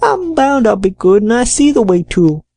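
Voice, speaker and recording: A woman putting on a hoarse ragged old castaway. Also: a little high, big pitch swings, warm tone, no echo, full character voice. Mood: rambling. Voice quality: hoarse, awkward, slow drawl. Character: ragged half-crazed old male castaway. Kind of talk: audiobook character voice.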